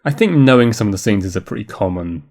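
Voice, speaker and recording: A man's voice: on the low side, lively intonation, fast talker, darkish tone. A man co-hosting a podcast, talking in a casual, rambling, conversational way about films. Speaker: podcast co-host. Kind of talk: casual film discussion.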